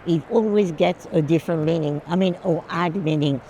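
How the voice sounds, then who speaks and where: A woman talks casually as a curator. Her voice is warm and dark, and she states her views firmly. There is clear ambient noise around her. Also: on the low side, normal speed. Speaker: curator and collection advisor. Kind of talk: casual art discussion. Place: busy art fair.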